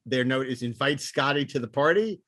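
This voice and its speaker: A man's voice: medium pitch, animated, brisk, a bit bright, no echo, clean studio sound. A man hosting a podcast, talking through and reading out notes in a casual panel discussion. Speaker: podcast host.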